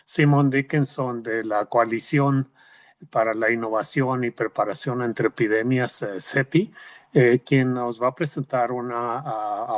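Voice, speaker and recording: A man moderating a webinar, informal and hesitant, using many fillers. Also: medium pitch. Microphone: video call.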